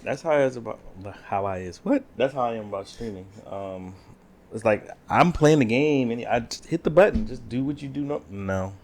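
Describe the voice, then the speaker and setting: A man co-hosting a podcast, chatting casually with friends and teasing them deadpan. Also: mid-range voice, normal speed. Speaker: podcast co-host. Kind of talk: casual chat.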